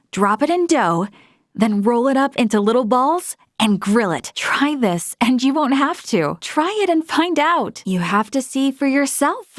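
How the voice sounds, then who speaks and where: A woman's voice: on the high side, dry recording, clean studio sound. A woman playing an earnest, polite young female space officer in a video game, speaking in a formal, thoughtful way. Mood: friendly, encouraging. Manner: measured, formal, thoughtful delivery. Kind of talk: scripted video game voice acting.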